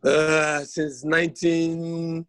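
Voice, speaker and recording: A man's voice: on the high side, little pitch movement, slow, a bit bright, no room sound, clean studio sound. A middle-aged man from Nigeria, a textile artist, introducing himself on a video call with jokes and frequent laughter. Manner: jovial, laughs often, joking.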